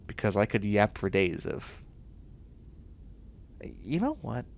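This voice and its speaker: Casting: male actor as young male sci-fi science nerd, boastful and snarky, unhurried delivery with gravelly fry. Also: on the low side, wildly swooping pitch, full character voice. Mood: eager, chatty. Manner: chatty, snarky, boastful, muttering asides and exclamations. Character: nerdy, self-assured young male bioengineering student in sci-fi future. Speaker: voice actor in audio roleplay. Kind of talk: comedic one-sided audio roleplay.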